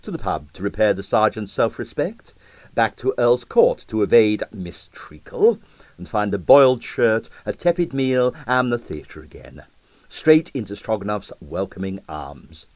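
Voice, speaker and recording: A man's voice: lowish voice, big pitch swings, a little slow, darkish tone, no echo. A man reading a comic novel as an audiobook narrator, with wry, theatrical delivery. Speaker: audiobook reader.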